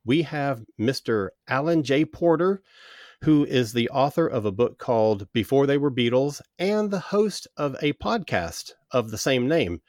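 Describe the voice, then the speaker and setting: A man hosting a music podcast, with a mid-pitched voice and a dry, close sound. He welcomes his guest and asks his questions in a friendly, measured way. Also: animated, a little mellow, no echo. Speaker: podcast host.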